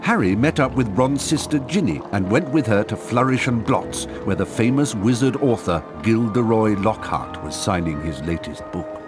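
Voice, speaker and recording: A man's voice: lowish voice, expressive, normal speed, hardly any pauses, natural voice. A man reading scripted storybook narration for a video game. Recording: long reverb, faint music.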